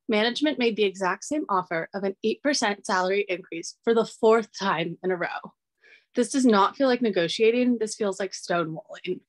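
A woman's voice: mid-range voice, no echo. A young woman, a college student, earnestly reading a prepared public comment over a video call. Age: young adult.

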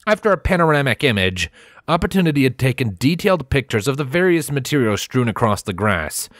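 A man's voice: medium pitch, sing-song, fairly quick, dry recording, clean studio sound. A man reading a scripted story as its narrator. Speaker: audiobook-style fan fiction narrator.

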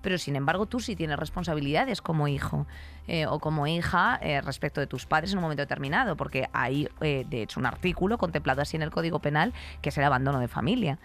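A woman's voice: sits low, animated, fast talker. A woman in her thirties with a Spain Spanish accent, co-hosting a podcast in a blunt, sweary, emphatic way, close on a studio mic. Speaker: podcast co-host. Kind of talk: candid, opinionated podcast chat. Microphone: close studio microphone on arm.

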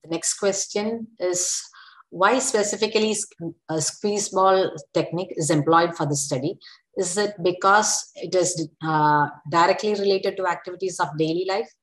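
A young adult woman chairing a session, reading out audience questions in a measured way over a video call. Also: fairly low pitch, low-quality recording. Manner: measured, reads questions aloud. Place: indoor room, video call.

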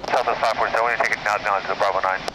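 A man's voice: fairly low pitch, steady tone, very fast. A man working as a pilot, giving clipped readbacks over air traffic radio. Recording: very noisy cockpit radio transmission. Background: loud aircraft and radio noise.

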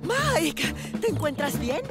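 An actress playing a caring, concerned village nurse in a Latin American Spanish cartoon dub. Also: female voice, high-pitched, sing-song, slow, loud music behind the voice, heavily acted.

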